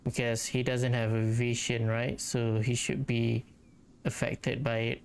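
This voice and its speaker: A woman's voice: rumbling low, flat delivery, unhurried, darkish tone, a little hiss or hum. A woman gaming streamer giving casual, musing commentary with some hesitation as she plays.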